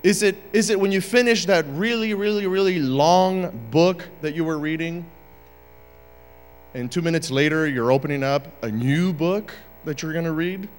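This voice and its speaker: A man's voice: a little high, normal speed, clean tone, long reverb tail, faint music behind. A man from Uruguay preaching a sermon as a guest speaker, joking casually at first and then building to emphatic points. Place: church sanctuary.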